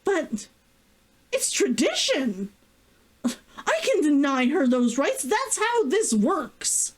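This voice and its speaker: Indignant, high-voiced bossy woman, acted by a female reader. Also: huge pitch swings, slow, heavily acted. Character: indignant, controlling older sister, snarling and accusing.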